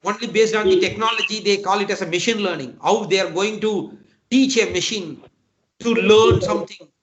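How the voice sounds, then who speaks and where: A man's voice: high-pitched, background noise. A middle-aged man with a Tamil Nadu Indian English accent, giving an earnest online motivational lecture to engineering students and often calling them "my dear friend". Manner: earnest, repetitive, addresses listeners as "my dear friend". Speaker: guest speaker. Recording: dull lo-fi online talk audio.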